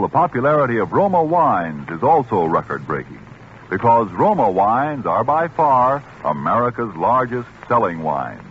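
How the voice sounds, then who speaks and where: A male announcer delivers a 1940s radio commercial with polished, emphatic salesmanship. His pitch swings in a lively way, and the recording is dull with faint noise.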